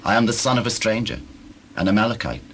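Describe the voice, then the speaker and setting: An actor playing a subdued young messenger from a battlefield, gravely recounting in a scripture audio drama on old cassette. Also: male voice, lowish voice, big pitch swings, fast. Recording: noisy old cassette tape recording.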